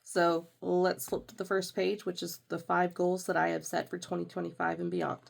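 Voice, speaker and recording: A woman's voice: fairly low pitch, little pitch movement, normal speed, gravelly. A young woman with a congested, nasal voice from a sinus infection, chatting casually as she walks through her budget planner. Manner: chatty, rambling with fillers and self-corrections. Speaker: budgeting vlogger.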